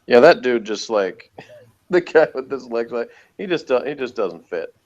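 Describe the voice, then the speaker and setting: A man's voice: medium pitch, sing-song, normal speed, darkish tone. A man reacting casually to a TV episode, wry and bluntly opinionated, in slightly muffled sound. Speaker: co-host of a TV episode review commentary.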